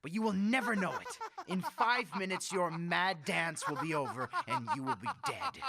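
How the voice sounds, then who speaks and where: A man playing a murderous, mockingly courteous dictator in a radio drama, theatrical and taunting with drawn-out emphasis. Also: sits high, expressive, vocal fry, a crowd in the background. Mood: gloating, maniacal.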